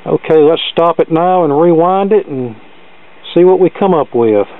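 Narrow-band, tinny audio: male demo host, slightly high-pitched, folksy and unpolished, casual hands-on delivery. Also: lively intonation, moderate pace. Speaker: host demonstrating vintage electronics. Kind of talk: casual hands-on gadget demonstration. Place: cluttered indoor workbench.

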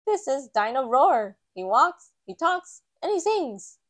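A woman's voice: high-pitched, very animated, slow, pitched above the performer's own voice, exaggerated voice. A woman voicing a cheery, eager male fox shopkeeper in a children's storybook, with a lilting sales patter.

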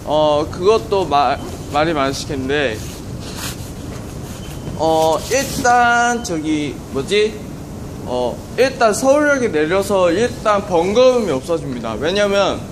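A man giving a casual, off-the-cuff running commentary on a station tour, halting and repeating himself. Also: a little high. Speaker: rail enthusiast filming a video. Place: on a train and in a railway station. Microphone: handheld camera or phone. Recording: loud train and station noise. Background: trains, station ambience.

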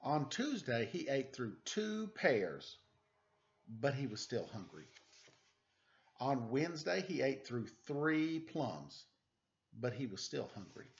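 A man in his forties doing a casual story time, chatting and reading aloud in a playful, expressive way. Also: medium pitch, takes it slowly. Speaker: storyteller reading a picture book to children. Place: living room at home. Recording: dull, lo-fi webcam sound.

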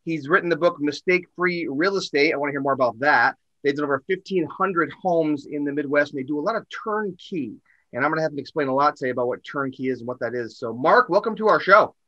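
A fairly high-voiced man in his forties co-hosting an interview podcast. He talks fast and in a rambling, energetic way as he sets up his questions. His voice is bright and forward. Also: lo-fi sound. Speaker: podcast co-host.

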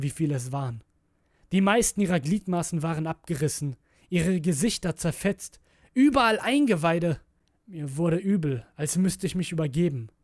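A man's voice: on the high side, darkish tone, dry recording, clean studio sound. A man narrating a scripted horror story in a brooding, theatrical manner. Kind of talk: dramatic scripted narration of a creepypasta.

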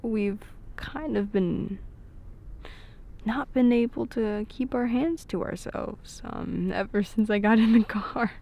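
A woman playing an affectionate, playful young girlfriend in an intimate, soft-spoken ASMR roleplay, with hesitant pauses. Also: fairly high pitch, dull audio. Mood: affectionate, shy. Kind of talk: intimate ASMR roleplay, scripted but natural.

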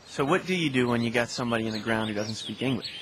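A man's voice: mid-range voice, racing, fairly bright tone, natural voice. An actor playing a skeptical, laconic American man with a dry, hesitant, understated manner in an audio drama. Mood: bemused. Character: skeptical, laconic man humoring an eccentric friend. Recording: noisy outdoor ambience with birdsong.

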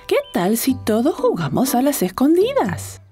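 Warm young mother, children's cartoon, high voice, very animated, encouraging. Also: unhurried, soft background music, full character voice. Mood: gentle. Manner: gentle, encouraging.

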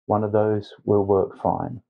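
Male painting instructor, lowish pitch, slow hesitant step-by-step delivery, slightly muffled recording. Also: level tone, creaky. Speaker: watercolour tutor. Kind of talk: instructional painting tutorial narration.

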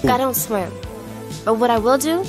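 Woman playing a high-voiced sarcastic clubgoer. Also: normal speed, over music, natural voice. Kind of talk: video game character acting.

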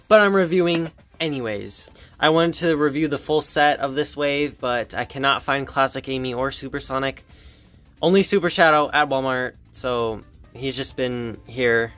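A man's voice: medium pitch, fairly bright tone. Teen guy chatting offhand about toys, faint music under him. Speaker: toy reviewer. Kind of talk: casual toy review vlog.

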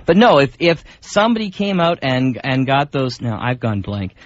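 A man's voice: medium pitch, big pitch swings, moderate pace, slight background noise. A man hosting community radio with rambling, jokey, unscripted banter. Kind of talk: casual unscripted co-host banter. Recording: dull lo-fi radio recording.

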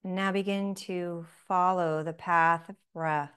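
A woman leads a guided breathing meditation in a smooth, clear voice. She speaks very slowly and softly, leaving long pauses between short phrases. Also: fairly low pitch, fairly even intonation. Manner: slow, soft-spoken, with long pauses.

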